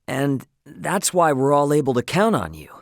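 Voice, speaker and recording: Game role: brash young male space captain, slangy and good-hearted, lively swooping pitch, dry close sound. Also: normal speed, vocal fry, no room sound. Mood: appreciative. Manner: casual, slangy, plain-spoken. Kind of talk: video game voice acting.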